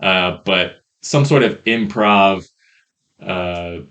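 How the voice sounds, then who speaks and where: Man, low pitch, podcast co-host, casual, hesitant and rambling, rough mic audio. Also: drawn-out words, a bit bright, lo-fi sound. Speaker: podcast co-host reviewing films and shows. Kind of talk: casual chat.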